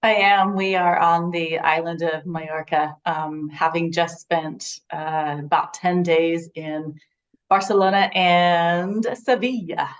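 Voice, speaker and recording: A woman being interviewed as a guest author, answering casually and slowly with some hesitation, over a remote connection. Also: lowish voice, fairly even intonation. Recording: dull lo-fi call audio.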